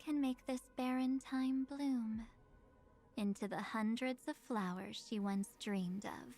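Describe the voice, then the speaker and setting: An actress voicing an enigmatic, gentle young woman from a video game, speaking in a measured, poetic and faintly teasing way. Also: on the high side, fairly even intonation. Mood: solemn, wistful. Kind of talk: video game character voicelines.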